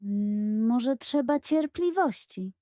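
A woman's voice: a little high, lively intonation, takes it slowly, warm tone, no echo, clean studio sound. A woman voicing a kindly, wise female giraffe in a children's audio story. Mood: gently advising.

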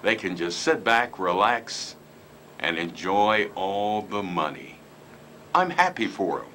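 An older man from Ohio asks calm, gentle questions as co-host. His voice sits low but moves in a lively way, with a bright edge. Faint noise sits behind him. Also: takes it slowly. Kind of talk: casual chat. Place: small room indoors.

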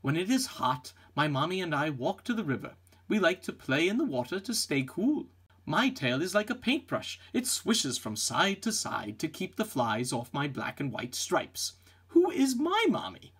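A man reads a children's picture book aloud with lots of expression. His voice is fairly high and husky, with a very animated melody. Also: normal speed. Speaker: storybook reader.

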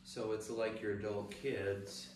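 A man's voice: lowish voice, flat delivery, slow. A man speaking hesitantly and thoughtfully in a casual group discussion. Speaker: Bible study participant. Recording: echoey room, distant mic, noisy background.

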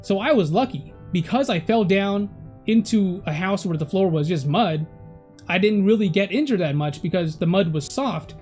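A man's voice: high-pitched, darkish tone. A man in his thirties, Vietnamese his first language, telling stories about his childhood in an easygoing, chatty vlog style with small self-corrections. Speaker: vlogger. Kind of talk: personal vlog storytelling. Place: indoor room at home. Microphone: camera facing him, head and shoulders.

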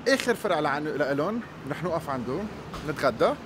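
A man's voice: on the high side, big pitch swings, racing. A middle-aged Lebanese man hosting a food vlog, talking animatedly and mixing Arabic with English, filmed on a phone at arm's length. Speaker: food vlogger. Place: city street outdoors. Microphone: handheld phone at arm's length. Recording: noisy street recording. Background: street traffic noise.

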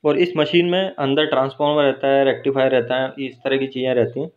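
A man's voice: mid-range voice, level tone, fast. Workshop instructor, man, patient step-by-step explainer, dull phone audio. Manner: explains step by step, checks in with "ठीक है" and "है ना". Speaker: teacher. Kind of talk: hands-on technical explanation. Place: welding workshop. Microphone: phone held while filming.

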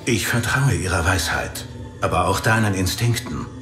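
Casting: loyal male fantasy warrior, assured, lowish and very expressive, roomy reverb. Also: normal speed, music in the background. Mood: calm, trusting. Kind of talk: audio drama acting.

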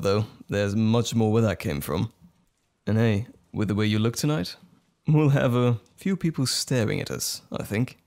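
Man acting as nervous romantic date, lively intonation. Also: fairly low pitch.

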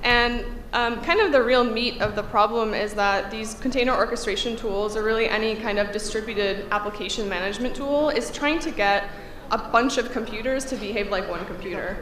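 A woman's voice: on the high side, fairly quick, hardly any pauses, crowd noise. A woman in her thirties giving a technical conference talk at a podium, a little congested from a cold, speaking conversationally with frequent fillers. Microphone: podium microphone. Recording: echoey hall, faint room hum.

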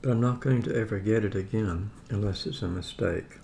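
A man's voice: on the low side, vocal fry, mellow, a little hiss or hum. A man in his seventies chatting wryly while eating lunch at his dining table, recorded by a camera on the table. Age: 70s. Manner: chatty, wry, unhurried. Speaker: vlogger. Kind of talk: casual talk while eating. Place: dining room at home.

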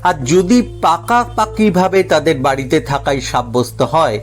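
A man with a fairly high-pitched voice narrates a scripted audio story. His delivery is that of a dramatic storyteller, and music plays softly behind him. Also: measured pace. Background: background music.

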